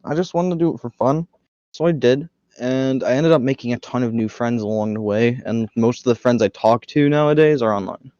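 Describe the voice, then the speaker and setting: A man's voice: mid-range voice, a little fast, a little mellow, no room sound, highs cut off. An American man hosting a podcast, chatting casually, telling anecdotes and laughing easily. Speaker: podcast host.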